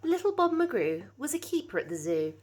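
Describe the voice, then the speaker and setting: A woman reads a children's storybook aloud in the manner of a teacher. Her delivery is very expressive, with pitch that moves widely from phrase to phrase. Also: normal speed.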